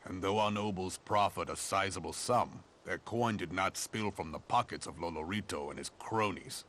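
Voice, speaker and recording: A man playing a steadfast, loyal advisor to a queen in a fantasy game, measured and deferential, in formal archaic speech. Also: low-pitched, fairly bright tone. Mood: measured, reassuring. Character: steadfast, loyal male advisor and commander serving his queen.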